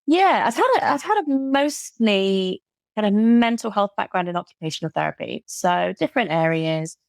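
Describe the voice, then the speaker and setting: A woman's voice: medium pitch, expressive, normal speed, creaky, dry recording. A British woman guesting on an interview, talking about her coaching work with enthusiasm and often repeating words for emphasis. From Britain. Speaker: guest, burnout recovery coach. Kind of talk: casual interview chat.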